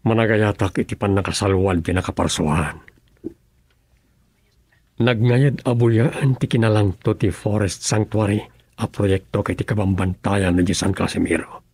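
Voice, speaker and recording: An actor in an Ilocano radio drama playing an earnest male governor who speaks calmly and sincerely. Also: lowish voice, moderate pace, breathy edge. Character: earnest adult male governor devoted to public service.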